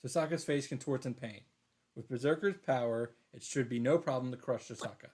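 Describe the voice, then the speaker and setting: A man reading a visual novel aloud as a streamer, in an emphatic, dramatic storytelling style with drawn-out pauses. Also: medium pitch, darkish tone, slight background noise. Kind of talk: dramatic narrated read-aloud.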